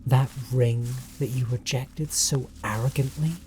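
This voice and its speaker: Slow, menacing British stalker ex played by a man. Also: medium pitch, steady tone, mellow, soft background music, close to an everyday voice. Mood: bitter reproach. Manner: slow, deliberate, coldly taunting. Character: obsessive spurned stalker ex, menacing and bitter. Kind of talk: scripted horror audio roleplay monologue.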